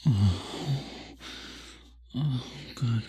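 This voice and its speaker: A man playing a possessive, doting male vampire in an intimate ASMR roleplay, speaking formally in a husky, breathy murmur that often drops to a whisper. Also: medium pitch, extremely slow, dark tone, full character voice. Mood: hungry, breathless.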